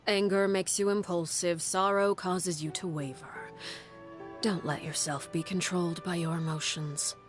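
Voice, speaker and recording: Stern female authority figure for a game drama, played by a woman: low, measured, formal, music underneath. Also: fairly even intonation, natural voice. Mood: firm, restrained. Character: stern, composed woman who rules an orphanage as 'Father'. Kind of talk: dramatic video game voice acting.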